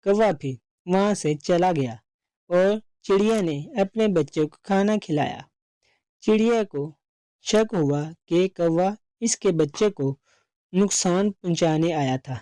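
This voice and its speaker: A woman's voice: lowish voice, unhurried, mellow, no echo. A woman narrating a scripted children's story as its storyteller.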